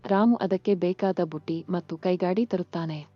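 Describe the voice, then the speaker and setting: A woman's voice: fairly low pitch, normal speed, no echo. Slightly muffled woman narrating a children's story. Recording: muffled lo-fi sound. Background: faint music.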